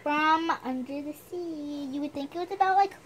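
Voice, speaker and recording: High voiced lady reading a story book out loud, chatty, trips on words, bit of background noise. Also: moderate pace. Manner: chatty, self-deprecating, stumbles over words while reading. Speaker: host reading a storybook aloud. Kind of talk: storybook reading with casual asides.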